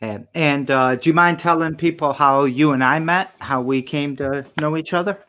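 A man interviewing a guest on a video call, rambling and filling pauses with "uh" and "um". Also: on the high side, fairly bright tone. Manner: rambling, many "uh" and "um" fillers.

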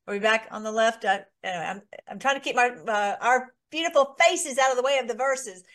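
A woman's voice: fairly high pitch, brisk, a bit bright. Rambling woman leading a Bible study. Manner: rambling, with frequent restarts and fillers. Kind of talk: informal Bible study teaching.

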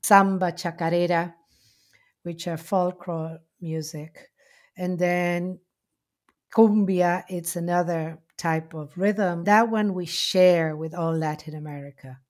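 A middle-aged woman with an Argentine Spanish accent, telling her life story in a slow, heartfelt way with many pauses. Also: on the low side, steady tone, a little mellow. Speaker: interviewee. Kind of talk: reflective personal storytelling.

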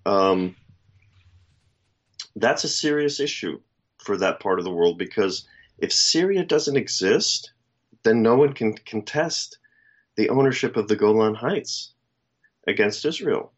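An American man giving casual, opinionated political commentary as a co-host, speaking deliberately with rhetorical questions, on slightly dull audio. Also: on the low side, lively intonation, breathy edge. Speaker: talk show co-host.

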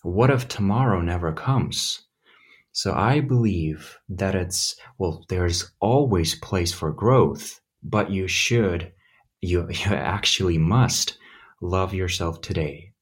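A man's voice: deep voice, slow pace, breathy edge. A man from Ukraine with a blend of American accents, answering interview questions in a hesitant, thoughtful way. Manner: hesitant, thoughtful, many fillers. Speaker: guest, English teacher.

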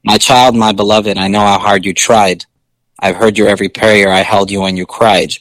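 A male singer with a low speaking voice, chatting casually in an interview with many fillers and restarts. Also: fairly even intonation, moderate pace, fairly bright tone, poor audio.

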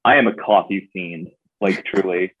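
A man's voice: deep voice, moderate pace, husky, dry recording. A young man from New Hampshire, a guest on a podcast, chatting casually and playfully, acting out little voices in his stories. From New Hampshire, USA. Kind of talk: casual chat. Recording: dry tinny phone-like sound.

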